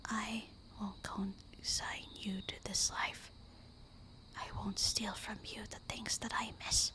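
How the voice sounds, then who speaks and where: Lady doing a sad loving vampire wife, breathy whisper, really slow and intimate, asmr roleplay. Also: medium pitch, level tone, sharp tone. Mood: sorrowful, tender. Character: loving, melancholy vampire wife, gentle and devoted.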